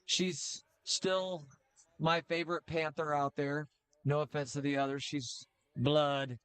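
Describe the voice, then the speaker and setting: Middle-aged man, casual sports caller, slightly high voice, relaxed banter with fillers. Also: smooth, no room sound. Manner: chatty, joking, with filler pauses. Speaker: play-by-play commentator. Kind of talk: casual high school basketball commentary.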